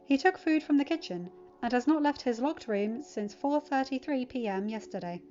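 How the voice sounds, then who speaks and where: A woman's voice: sits high, lively intonation, normal speed, darkish tone, soft background music, voice pushed up. A woman voicing a dutiful female AI assistant in an audiobook, reporting formally and precisely. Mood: matter-of-fact. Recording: dull lo-fi recording.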